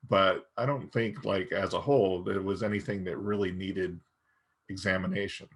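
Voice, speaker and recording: A man's voice: deep voice, steady tone, moderate pace, slightly rough. A man co-hosting a podcast, giving his opinions casually and thinking aloud in a hesitant, conversational way. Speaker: podcast co-host. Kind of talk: casual review discussion.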